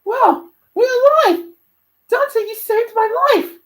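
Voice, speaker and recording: A woman's voice: fairly high pitch, very animated, moderate pace, voice pushed up. A woman voicing a bold, flirty female hellhound, forward and teasing, in a story reading. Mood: relieved, excited.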